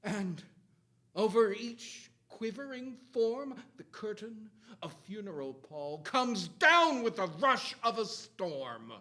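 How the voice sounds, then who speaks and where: An older man gives a grave, theatrical poetry recitation, declaiming with hushed drops and booming cries. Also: very animated, takes it slowly. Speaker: stage performer reciting poetry. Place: theatre stage at a lectern.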